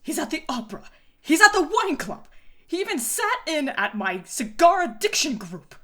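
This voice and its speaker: A man's voice: extremely high pitch, very animated, normal speed, pushed and bright, full character voice. A man voicing an anxious, refined middle-aged psychiatrist in a comic fandub, with fussy, melodramatic, snobbish diction. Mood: frantic.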